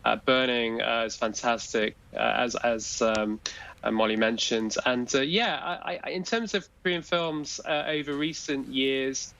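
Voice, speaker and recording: A man's voice: medium pitch, a little slow, gravelly, a bit bright, highs cut off, slight background noise. A man speaking as a guest commentator on a news program, talking hesitantly with many fillers and restarts. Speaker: film industry guest commentator. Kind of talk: live studio interview discussion.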